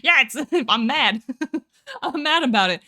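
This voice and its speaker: A woman's voice: high-pitched, animated, a little slow, sharp tone. Giggly, rambling young woman streamer. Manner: reads and answers chat. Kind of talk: casual live-stream chat.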